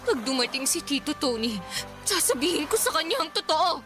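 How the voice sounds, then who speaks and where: An actress playing a wronged young Filipino daughter in a radio drama, timid yet insistent, polite and plaintive. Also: female voice, high-pitched, very animated, brisk. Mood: hurt, insistent. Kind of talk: Tagalog radio drama acting. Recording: music under the voice.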